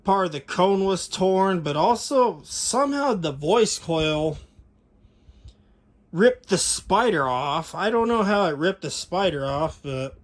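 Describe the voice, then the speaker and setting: Higher-pitched American man, casual and rambling. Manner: rambling, folksy, conversational. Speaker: vlogger showing vintage audio gear. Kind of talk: casual unscripted product walkthrough.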